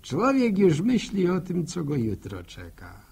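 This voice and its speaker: An actor playing an older, wistful village storyteller in a 1960s radio drama, with a husky, gravelly voice and a rural Polish accent. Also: male voice, high-pitched, wildly swooping pitch, darkish tone, exaggerated voice. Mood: wistful musing. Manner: slow, folksy musing. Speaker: narrator.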